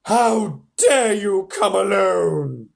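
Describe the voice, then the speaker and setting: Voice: medium pitch, lively intonation, creaky, pitched above the performer's own voice, heavily acted. A terrible, commanding witch queen, read aloud by a parent. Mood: furious. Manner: slow, loud and commanding.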